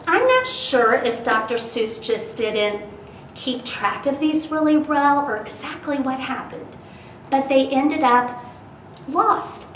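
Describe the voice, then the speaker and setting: A woman's voice: a little high, a bit bright. A woman hosting a library storytime, talking directly to children and prompting them with questions as she introduces and reads stories aloud. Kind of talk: children's storytime talk and expressive read-aloud. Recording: roomy, distant mic with faint hiss.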